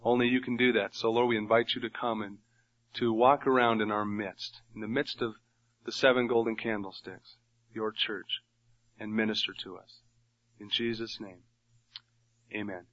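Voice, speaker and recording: A man's voice: on the low side, fairly even intonation, normal speed, pauses often, a bit bright, muffled recording. A man with a nasal voice leading a Bible study in a church room, praying and then teaching in an earnest, conversational way. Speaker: pastor. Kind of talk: Bible teaching sermon with prayer.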